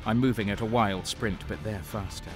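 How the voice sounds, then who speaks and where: A man's voice: lowish voice, fairly even intonation, moderate pace, lightly acted. A man playing a renegade guardsman who narrates his own battles in a first-person audio drama. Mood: grim, tense. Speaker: narrator and main character. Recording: music behind the voice. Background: dramatic music.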